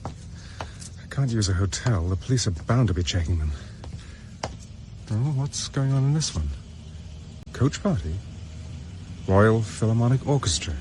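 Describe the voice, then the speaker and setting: A man's voice: lowish voice, expressive, a little slow, long pauses, background noise. An actor playing a hunted, resourceful man, muttering to himself in short urgent bursts in a radio drama. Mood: wary, calculating. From England. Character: hunted Englishman on the run, anxious, resourceful, thinking aloud. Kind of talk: radio drama inner monologue.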